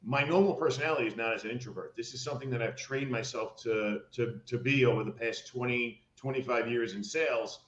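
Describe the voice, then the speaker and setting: A middle-aged man, a media personality, speaking as a guest in a joking, lively way, often stumbling and repeating words. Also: medium pitch, a little fast, few pauses. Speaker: media personality, former mortgage lender. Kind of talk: remote guest storytelling. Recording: roomy, dull remote audio.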